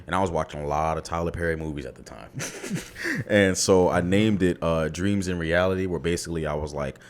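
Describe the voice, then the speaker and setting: Deep-voiced man telling loose stories. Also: brisk. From Baltimore area. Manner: loose, profane storytelling. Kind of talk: casual chat.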